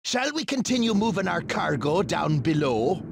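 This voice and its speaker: A man voicing a servile, eager, raspy pirate crewman in a cartoon video game, deferential in manner. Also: sits high, sing-song, normal speed, background noise, exaggerated voice. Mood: servile reporting.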